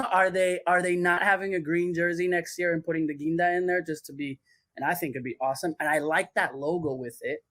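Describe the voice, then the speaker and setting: A man's voice: a little high, steady tone, a little fast, a bit bright, dry recording, studio quality. Chatty man with loose, filler-heavy talk. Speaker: podcast guest who works on athlete branding. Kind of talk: casual podcast chat.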